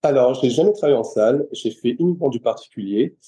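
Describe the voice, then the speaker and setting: A man's voice: mid-range voice, lively intonation, quick pace, mellow, poor audio. A middle-aged man from France, a personal trainer, chatting in an interview and stopping often to restart his sentences. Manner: hesitant, many restarts and fillers. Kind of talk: casual interview chat.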